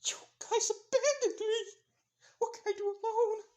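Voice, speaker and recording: A man's voice: animated, normal speed, lightly acted. A man putting on a squealing frantic teen girl. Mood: panicked. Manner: high-pitched, frantic, stammering.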